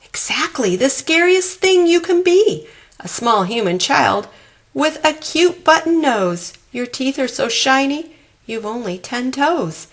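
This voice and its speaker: A woman's voice: high voice, pitched above the performer's own voice, full character voice. A woman voicing a big, hairy, friendly male monster who is jovial and exclaims effusively, in a children's storybook.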